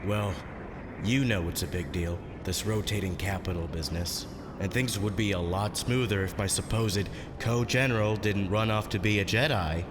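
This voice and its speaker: A man's voice: lowish voice, moderate pace, natural voice. A man playing a harried, wry general turned politician in a sci-fi audio drama, casual and exasperated in manner. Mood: reluctant, wry. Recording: long hall reverb, noisy ambience behind.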